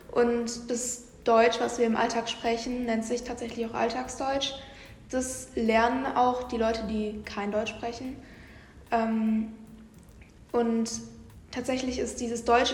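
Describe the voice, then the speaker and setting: Flat-voiced woman explaining plainly. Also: fairly high pitch. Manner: explains matter-of-factly, lecturing tone. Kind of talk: explanatory discussion. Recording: echoey room with long reverb, faint music.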